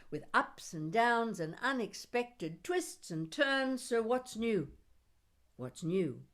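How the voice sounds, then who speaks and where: A woman reading her own poetry aloud in an expressive spoken-word style, with deliberate, dramatic phrasing and wry humour. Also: medium pitch. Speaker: poet reading own work.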